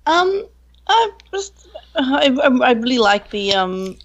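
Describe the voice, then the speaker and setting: A woman co-hosting a podcast, chatting casually in a somewhat halting way. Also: high voice, sing-song, muffled recording. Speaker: podcast co-host. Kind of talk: casual chat.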